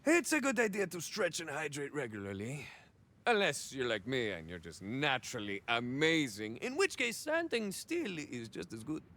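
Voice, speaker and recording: A man's voice: a little high, wildly swooping pitch, normal speed, bright, forceful tone, exaggerated voice. An actor playing a cocky, rambling cyborg ex-soldier in a video game, with a Mexican-American accent and bits of Spanish mixed into his hesitant, theatrical talk. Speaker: playable game character. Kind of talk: video game idle monologue acting.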